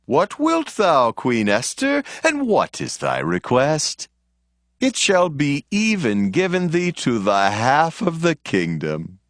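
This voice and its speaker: Casting: a commanding, generous ancient king; unhurried, hugely varied intonation, Bible audio drama. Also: on the high side, huge pitch swings, a bit bright, dry recording, clean studio sound, full character voice. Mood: grand, generous. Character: powerful, magnanimous Persian king, grand and commanding.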